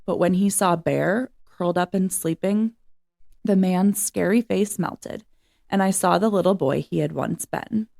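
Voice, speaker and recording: A woman reads a children's story aloud. Her voice sits a little low and carries a strong creak, in the manner of scripted narration read from a book. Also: a little slow, a little mellow.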